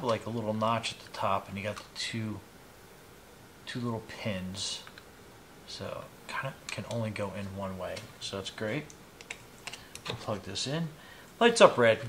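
A man in his fifties giving a casual, unhurried product demonstration, explaining each step informally as he goes. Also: fairly low pitch, a bit bright. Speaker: product reviewer demonstrating gadgets on his channel. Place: small indoor hobby room at a desk. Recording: clear background noise, clicks of handled items.

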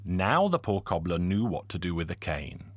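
A man narrating a cartoon folk tale in an expressive, scripted storytelling manner. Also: deep voice, normal speed, no echo. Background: loud folk music.

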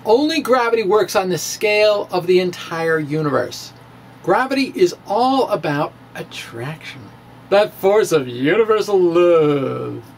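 Middle aged guy doing dramatic science vlog, slow w lots of pauses, swoopy pitch, bit of background noise. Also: animated, creaky. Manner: theatrical, emphatic, with halting pauses. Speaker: science vlogger presenting a physics monologue. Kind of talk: dramatic reading mixed with casual asides. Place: small indoor room with bookshelves. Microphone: handheld-style microphone on stand close in front.

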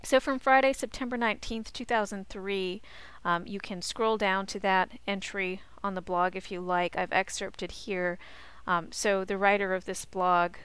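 A woman college instructor talking through slides in a measured, explanatory way and reading excerpts aloud. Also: medium pitch, fairly bright tone, smooth, no room sound.

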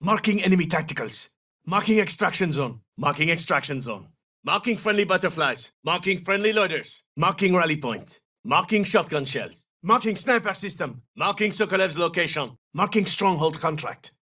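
An actor playing a confident, battle-hardened special forces operator in a military shooter game, giving clipped, terse callouts. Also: male voice, high voice, expressive, moderate pace, pushed and bright, breathy edge, far from the mic, full character voice. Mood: focused.

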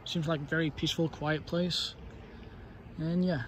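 A man's voice: on the high side, steady tone, moderate pace, slight background noise. A young man vlogging outdoors on a wooded trail, talking to a phone camera held at arm's length, rambling and hesitant with lots of fillers. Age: young adult. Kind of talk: casual outdoor vlog.

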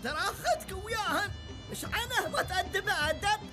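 A man's voice: extremely high pitch, expressive, a little fast, over loud music. An actor voicing a complaining small old man in a cartoon, with an Emirati Arabic accent. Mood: grumbling. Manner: complaining, whiny. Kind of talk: Gulf Arabic cartoon dub.

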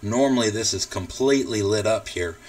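Low-voiced American man explaining, slightly distant mic. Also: fairly quick, very noisy. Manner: explanatory, informal. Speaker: tutorial presenter, radio hobbyist. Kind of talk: casual software tutorial narration. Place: indoors at a computer.

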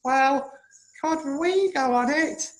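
A woman's voice: sits high, slow pace. A woman voicing a polite, timid, childlike puppet in a children's show, hesitant and wide-eyed. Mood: delighted. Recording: roomy, noisy home recording.

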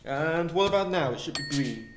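A man's voice: on the high side, lively intonation, normal speed, a little hiss or hum, close to an everyday voice. A man playing a chiding but caring space pilot father in a sci-fi audio drama. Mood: instructing.

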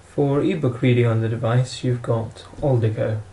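A man's voice: medium pitch, steady tone, normal speed, mellow, a little hiss or hum. A man narrating an instructional product walkthrough in a plain, matter-of-fact way, as a demonstrator.

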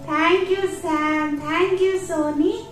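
A woman's voice: very high voice, steady tone. A woman voicing a cheerful, friendly young puppet dog in a children's show, talking to little viewers in a sing-song, repetitive way. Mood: eager, sing-song. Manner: slow, sing-song, repeats questions to children. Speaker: puppet show presenter for toddlers. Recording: echoey room, music behind.

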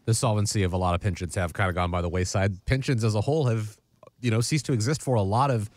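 A young man hosting a retirement podcast, reading plugs in a brisk, promotional way. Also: lowish voice, darkish tone, no echo. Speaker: podcast host. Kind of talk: casual podcast talk with ad reads.

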